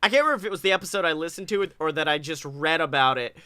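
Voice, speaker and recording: Young man, high pitch, sharp bright tone, dry close sound, animated, profane, casual podcast banter. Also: rapid-fire, clear, resonant tone, no room sound. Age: young adult. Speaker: podcast co-host. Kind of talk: casual comedic chat. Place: bedroom-like room at home, video call.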